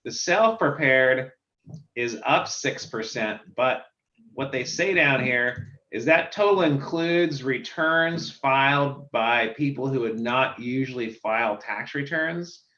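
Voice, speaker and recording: A man who runs a software company, explaining filing statistics in a casual video call chat. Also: medium pitch, echoey room, dull audio. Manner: explanatory, walks through statistics.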